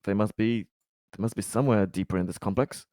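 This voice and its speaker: A man's voice: lowish voice, fast talker, darkish tone, dry recording. A man playing a tabletop role-playing game, talking casually with a dry, wry manner.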